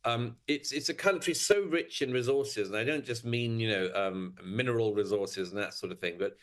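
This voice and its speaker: A male commentator giving measured, emphatic opinions with hesitant restarts. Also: medium pitch. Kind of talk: interview commentary.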